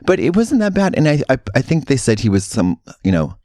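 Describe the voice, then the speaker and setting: A man in his thirties hosting a podcast, asking questions in a halting, deadpan way. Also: mid-range voice, no echo, clean studio sound. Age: 30s. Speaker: podcast host. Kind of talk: casual podcast chat.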